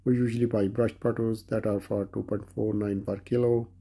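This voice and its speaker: A man's voice: lowish voice, flat delivery, brisk, warm tone, husky. A man vlogging a walk through a large grocery store, plainly listing produce and prices. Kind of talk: grocery store walkthrough narration.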